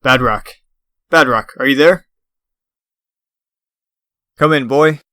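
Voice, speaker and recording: A man playing a firm, watchful superhero team commander in a comic dub, speaking with paternal authority in a smooth voice. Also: medium pitch, takes it slowly, pushed and bright, dry recording, studio quality. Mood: stern.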